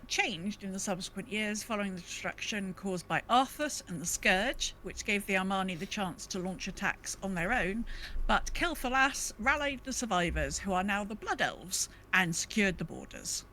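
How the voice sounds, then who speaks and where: A woman co-hosting a podcast, reading a game lore recap casually with wry, deadpan asides. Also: medium pitch, moderate pace, rough recording. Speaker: podcast co-host. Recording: faint background noise.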